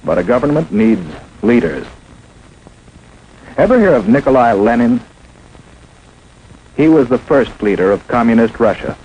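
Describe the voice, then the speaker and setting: Casting: male American narrator for a 1950s instructional film, dramatic and emphatic, lively swings in pitch, lightly noisy vintage soundtrack. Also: measured pace, pauses often, muffled recording. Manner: emphatic, dramatic, rhetorical questioning.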